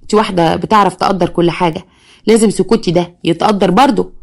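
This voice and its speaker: A woman's voice: fairly low pitch, fairly even intonation, quick pace, creaky, darkish tone. A woman with an Egyptian accent voicing a smug, scheming young man who mocks and blackmails his stepmother. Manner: mocking, smug, sweet-talking.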